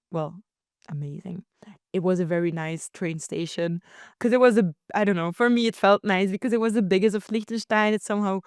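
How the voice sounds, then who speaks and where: Young woman, from Belgium, podcast host, casual storytelling, chatty, laughs a lot, crisp voice, dry close sound. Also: medium pitch, dry recording. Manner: chatty and animated, laughs often, slips into quoted voices.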